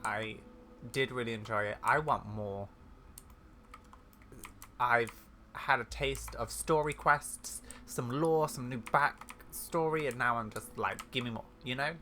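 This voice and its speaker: A man's voice: mid-range voice, slow, bright, forceful tone, a little hiss or hum. A man giving casual gameplay commentary as a video host, chatty and effusive.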